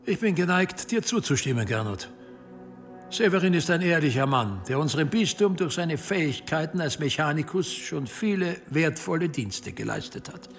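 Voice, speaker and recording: An actor playing a measured, dignified medieval archbishop presiding as judge in a radio play, formal and judicious. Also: male voice, fairly high pitch, big pitch swings, soft background music.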